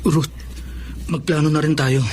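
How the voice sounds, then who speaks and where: An actor playing a respectful, wounded young employee and boyfriend in a Filipino melodrama, earnest and deferential. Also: male voice, fairly high pitch, level tone, a little slow, a little mellow, a little hiss or hum, lightly acted. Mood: hurt, earnest.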